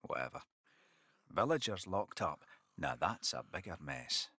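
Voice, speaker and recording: Low, forceful, grim guard. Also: male voice, sing-song, measured pace, husky, no room sound, clean studio sound, heavily acted. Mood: disgruntled. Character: grim, disgruntled male guard with misgivings. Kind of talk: video game cutscene acting.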